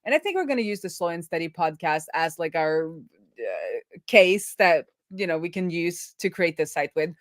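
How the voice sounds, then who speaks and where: A woman's voice: lowish voice, sing-song, moderate pace, no room sound, studio quality. A woman hosting a live coding stream in casual chat, informally thinking aloud as she explains. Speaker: live stream host, web developer.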